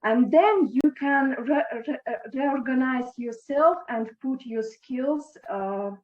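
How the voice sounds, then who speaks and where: Slow, halting young woman, native Russian speaker. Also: high-pitched, a little mellow. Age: young adult. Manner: halting, with frequent restarts and stammers. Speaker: interview guest, former intern. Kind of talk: video call interview. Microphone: video call. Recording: echoey, dull lo-fi video call.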